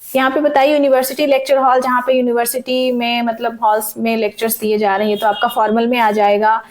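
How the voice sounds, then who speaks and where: Woman teacher, slightly raised pitch, sore throat, slow deliberate question reading, friendly checking-in. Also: flat delivery, rough recording. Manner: frequent "theek hai" checks, reads questions slowly in English. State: sore throat, voice cracks at times. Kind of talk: exam-prep lesson mixing Hindi and English.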